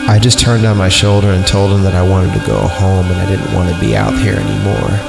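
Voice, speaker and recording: Low-voiced irreverent man reading stories, echoey. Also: level tone, a little fast, creaky. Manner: irreverent, crude, breaking into mock singing. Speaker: podcast host reading stories aloud. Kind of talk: comedic story reading with sarcastic asides. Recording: roomy echo with music behind. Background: music.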